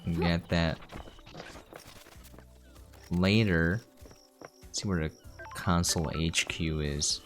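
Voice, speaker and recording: A man hosts a game preview in a low voice. He comments casually as he plays. Music is clearly audible behind him. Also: slow pace, pauses often.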